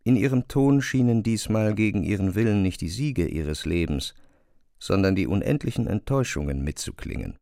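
A man narrating a literary radio drama from a script in a measured, restrained way. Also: fairly low pitch, lively intonation, gravelly, mellow.

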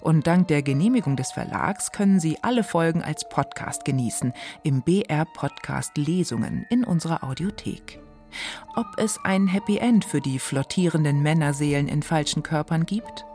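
A woman's voice: sits low, animated, moderate pace, a little mellow. A woman hosting a literary radio programme with a scripted presenting style. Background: faint music.

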